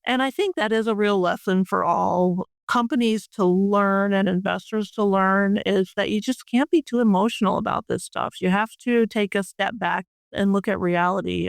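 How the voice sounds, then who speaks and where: An older woman with a very clear, smooth voice speaks as an expert guest in an interview. Her pitch stays fairly even as she explains things in measured, plain-spoken terms. The sound is dry and close. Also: no room sound. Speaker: industry expert guest and board member. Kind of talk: conversational interview answers.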